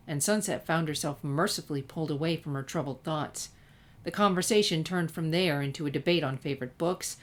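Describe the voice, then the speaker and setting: A woman narrator reading a scripted fan-fiction story expressively. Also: deep voice.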